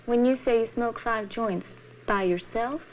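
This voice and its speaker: A woman's voice: a little high, unhurried, muffled recording. A woman interviewer asking plain, direct questions in a neutral manner for a documentary. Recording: 1970s film interview, faint noise.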